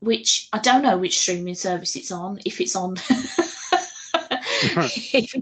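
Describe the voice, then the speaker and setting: A woman's voice: medium pitch, animated, takes it slowly, fairly bright tone, slight background noise. A woman chatting casually as a podcast co-host, giggly and talkative, with a loose, sweary way of talking.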